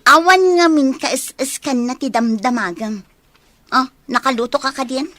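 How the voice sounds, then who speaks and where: A woman's voice: sits high, measured pace, fairly bright tone, no room sound. A woman playing a sassy, bossy grown daughter in an Ilocano comedy radio drama, with an Ilocano accent. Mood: sassy, irritated.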